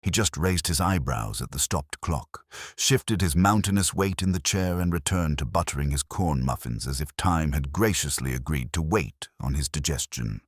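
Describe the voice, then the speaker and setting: Very deep male mystery narrator. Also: expressive, normal speed, few pauses, husky, no room sound, studio quality. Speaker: narrator and host of a mystery story channel. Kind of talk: scripted narration of a detective story.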